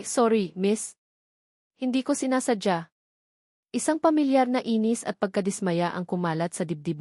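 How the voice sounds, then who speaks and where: A woman narrating a scripted love story in a measured, descriptive storytelling manner. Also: medium pitch, darkish tone, no echo, clean studio sound.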